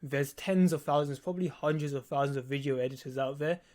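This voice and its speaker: A man's voice: fairly high pitch, fast talker, darkish tone. A nineteen-year-old man with a lisp, explaining things to viewers in a blunt, informal way. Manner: blunt, casual, swearing, emphatic repetition. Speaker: video editor teaching on own channel.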